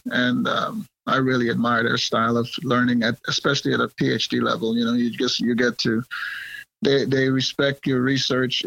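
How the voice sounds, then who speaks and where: A man's voice: mid-range voice, gravelly, fairly bright tone, dry recording, highs cut off. A man from Guyana speaking as an interview guest, rambling with frequent fillers and restarts and often laughing. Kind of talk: casual interview conversation.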